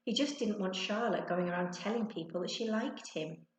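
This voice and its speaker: A woman's voice: mid-range voice, moderate pace. A woman narrating a children's storybook expressively, like a teacher reading to her class. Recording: echoey, roomy sound.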